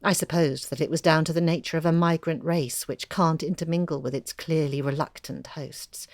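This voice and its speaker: A woman's voice: low-pitched, darkish tone, no echo, clean studio sound. An actress playing a bookish woman writer who eagerly recounts her research in a confiding, articulate way, as intimate audio drama storytelling.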